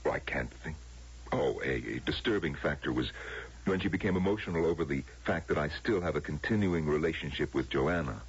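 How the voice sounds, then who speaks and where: A man's voice: very deep voice, expressive, fairly quick, breathy edge, full character voice. An actor playing an uneasy, deferential man in a radio drama, hesitant and careful, on a muffled old broadcast. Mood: defensive, worried. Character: uneasy, deferential man reporting to a female superior.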